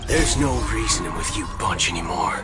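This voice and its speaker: A man voicing a cocky, taunting gunslinger brawler in video game combat, with loud music and crashing effects. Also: sits low, expressive, moderate pace. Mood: cocky, menacing.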